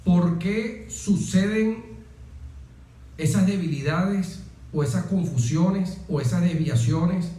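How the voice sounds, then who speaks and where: Very dark-voiced, slow man. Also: high-pitched. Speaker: interview guest, military officer. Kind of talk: political discussion, deliberate explanation. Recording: very echoey room.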